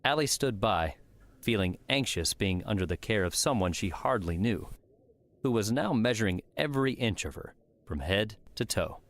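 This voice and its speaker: A man's voice: fairly low pitch, expressive, normal speed, a bit bright. A man reading scripted story narration as an audiobook narrator.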